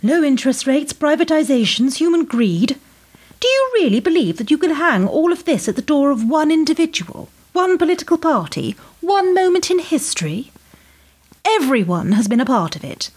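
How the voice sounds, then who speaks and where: Sardonic, high-voiced woman power broker played by an actress. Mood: challenging. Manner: sardonic, condescending, rhetorical. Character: cool, menacing female establishment power broker. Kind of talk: radio play acting.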